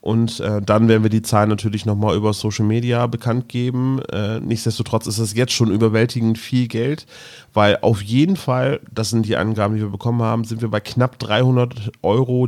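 A man's voice: fairly low pitch, a little mellow. A man chatting casually as a podcast host, often hesitating as he searches for the right word. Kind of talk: casual chat.

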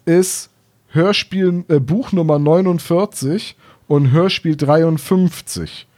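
A man's voice: a little high, slow pace, mellow. A man in his thirties chatting casually as a podcast co-host, explaining things in careful detail. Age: 30s. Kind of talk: casual discussion of audio dramas and books.

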